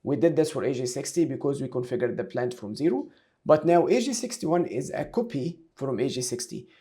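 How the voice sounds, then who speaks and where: Mellow-voiced male software instructor. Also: mid-range voice, animated, fairly quick. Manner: instructional, talks through each step aloud. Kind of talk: screen-share tutorial, explaining step by step.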